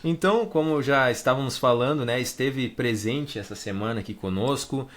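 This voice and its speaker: Male podcast host, mid-pitched, long winding questions, soft backchannels. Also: moderate pace. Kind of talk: interview questions.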